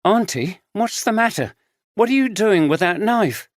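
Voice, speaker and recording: A man's voice: sits high, expressive, a little slow, no room sound, studio quality, voice pushed up. A man voicing a frightened young woman, anxious and pleading.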